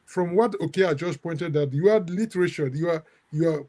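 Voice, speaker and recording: Halting, higher-voiced male moderator. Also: warm tone. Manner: halting, many restarts and fillers. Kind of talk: academic discussion on a video call.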